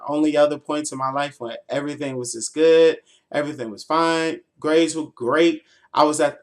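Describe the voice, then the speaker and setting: A man in his mid-twenties with an American accent and a fairly high voice. He tells stories like a streamer chatting to viewers, loose and slangy, with a playful, animated delivery. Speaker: livestreamer. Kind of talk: casual, informal storytelling.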